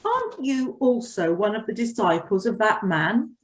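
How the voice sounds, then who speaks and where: A woman's voice: on the high side, huge pitch swings, normal speed, far from the mic. A woman reading accusing bystanders in a dramatized Bible reading, plainly voiced, a little away from the mic. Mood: suspicious. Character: accusing bystanders, gate girl and temple authorities.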